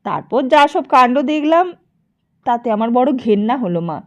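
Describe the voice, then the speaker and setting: A woman voicing a smooth-talking, meddling gentleman who moralises and flatters in an audiobook. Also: high voice, normal speed. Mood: feigned indignation. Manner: ingratiating, moralising.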